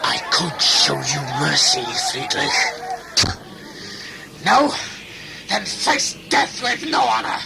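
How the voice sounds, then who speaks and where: A man acting a very gravelly, high-pitched cruel warrior leader. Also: very animated, heavily acted, low-quality recording. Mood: menacing, triumphant. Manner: slow, menacing, declamatory. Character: cruel victorious warrior leader, taunting a beaten foe. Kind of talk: sci-fi audio drama acting. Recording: music and crowd noise behind. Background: music, crowd.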